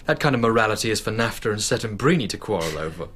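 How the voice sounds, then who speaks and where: An actor playing an earnest, bookish young man in a radio drama, lovestruck and self-deprecating, speaking politely and wistfully in measured phrases. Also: lowish voice, expressive, a bit bright, a little hiss or hum. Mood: pleading. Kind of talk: literary radio drama acting.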